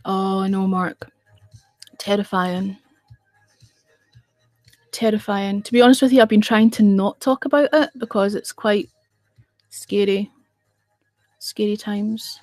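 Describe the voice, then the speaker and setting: A woman's voice: mid-range voice, slow, pauses often, a little mellow, no echo, faint music behind. A middle-aged woman hosting a casual livestream, rambling and chatting in reply to viewer comments. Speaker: radio show host on a livestream.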